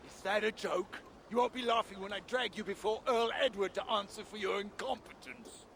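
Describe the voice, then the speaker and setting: An actor playing a pompous, angry Saxon captain in a video game, barking commands. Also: male voice, high voice, brisk, gravelly, a bit bright, a little hiss or hum. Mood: irate. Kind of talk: dramatic video game acting.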